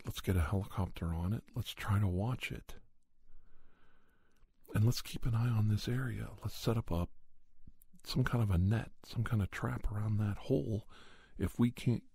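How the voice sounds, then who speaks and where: A man telling a spooky first-person story in a soft, breathy ASMR style, often near a whisper, in deliberate short phrases with pauses. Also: on the low side, no room sound, studio quality. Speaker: podcast storyteller.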